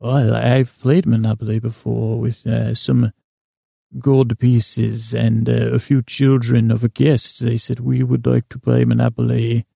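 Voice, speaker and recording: A man's voice: mid-range voice, little pitch movement, measured pace, creaky, dark tone, dry recording, clean studio sound. A man playing a polite, proud, servile New York hotel concierge in a formal, courtly, pompous manner for a comic bedtime podcast. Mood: genteel.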